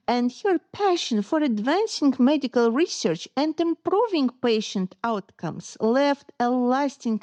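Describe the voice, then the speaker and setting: A woman podcast host with a lively, rising and falling voice that sits a little high. She speaks slowly and formally, giving each phrase room. The sound is close and dry. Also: no echo. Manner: measured, formal phrasing of questions. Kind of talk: podcast interview and scripted intro.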